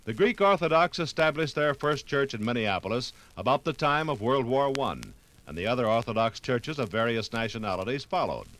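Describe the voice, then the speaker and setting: A man reading scripted 1950s radio documentary narration in a formal, measured announcer style. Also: medium pitch, animated, fairly bright tone, a little hiss or hum, low-quality recording.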